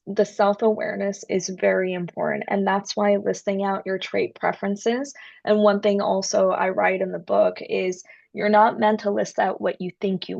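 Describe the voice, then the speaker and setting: A woman's voice: mid-range voice, vocal fry, a little mellow, no room sound, lo-fi sound. A woman, an author and guest, explaining in an earnest way and often checking in with "right?". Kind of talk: explanatory interview talk.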